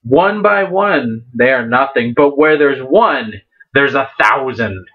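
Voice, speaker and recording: A man voicing a towering, jovial yet ferocious sea captain in a slow, declamatory storybook reading. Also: mid-range voice, animated. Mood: grim. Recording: dull lo-fi recording.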